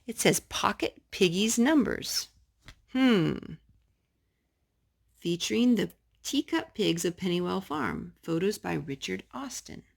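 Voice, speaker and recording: Creaky, lower-voiced woman reading to a child. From North Carolina. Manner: gentle questions and asides to a young child. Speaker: storytime host reading children's books aloud to a child. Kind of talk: children's read-aloud storytime.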